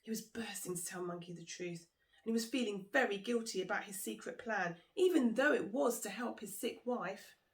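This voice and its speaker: A woman's voice: medium pitch, normal speed. A woman reading a children's folk tale aloud as a storyteller, with dramatic delivery aimed straight at the listener. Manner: dramatic, engaging the listener directly. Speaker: author and storyteller.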